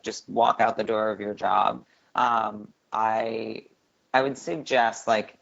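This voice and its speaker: Deep-voiced woman guest, gravelly edge, chatty and quick, dull lo-fi recording. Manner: chatty, quick, with many filler words. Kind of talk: casual interview chat. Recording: dull lo-fi sound.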